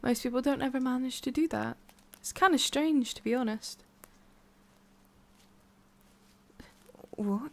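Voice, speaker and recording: A woman playing a centuries-old forest witch in an ASMR roleplay, haughty but secretly soft, speaking in a halting, musing way with a prickly edge. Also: sits high, lightly acted. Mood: gently guiding, then flustered, prickly. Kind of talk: ASMR roleplay, addressing the listener.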